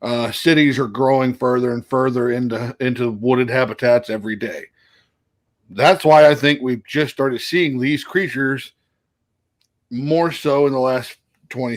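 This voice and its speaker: A man co-hosting a casual podcast over a video call, earnestly laying out his theories as he thinks out loud. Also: medium pitch, moderate pace. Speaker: podcast co-host.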